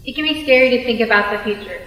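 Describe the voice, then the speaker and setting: A young woman delivers scripted readers theater with a bright, fairly high voice. She is recorded in a hall with long reverb. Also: quick pace. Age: young adult. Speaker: student performer.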